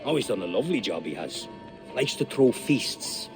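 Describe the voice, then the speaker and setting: An actor playing a friendly, gossipy Irish tanner with an Irish accent in a video game. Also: male voice, medium pitch, big pitch swings, normal speed, music in the background. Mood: chatty. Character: chatty Irish tanner, common townsman.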